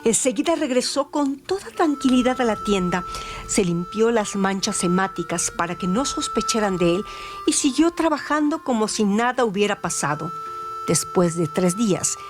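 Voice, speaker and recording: Woman narrator, dramatic, music underneath. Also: mid-range voice. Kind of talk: scripted dramatic crime narration for radio.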